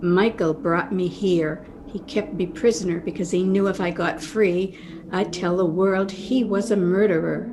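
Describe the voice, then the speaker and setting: An actress playing a murderer's vengeful, long-imprisoned sister in a radio mystery, grave and dramatic, recorded on a home call. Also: female voice, fairly low pitch, steady tone, unhurried, background noise. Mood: bitter.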